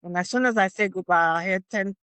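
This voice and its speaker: Older woman, interview guest, contemplative elder, repeats phrases for emphasis, medium pitch, dry recording. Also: quick pace, a bit bright, smooth, dry recording. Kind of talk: reflective interview conversation.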